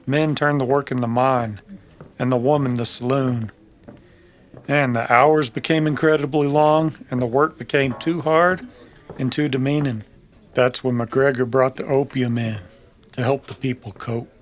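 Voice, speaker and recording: Guy acting as a bitter old west gunslinger, slow n somber, middle pitch, radio western style. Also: fairly even intonation, natural voice. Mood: grim, sorrowful.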